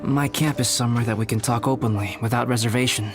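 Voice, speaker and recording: A man playing a calm, reserved young alchemist in a video game, speaking in a measured, formal and precise way. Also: mid-range voice, music in the background, close to an everyday voice. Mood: composed, formal.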